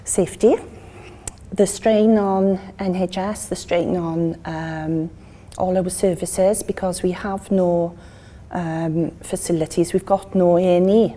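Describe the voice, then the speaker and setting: A woman in her fifties giving an interview. Her voice is mellow, with faint ambient noise behind it. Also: fairly low pitch, steady tone, slow pace. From Wales. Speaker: interviewee, local resident. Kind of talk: documentary interview.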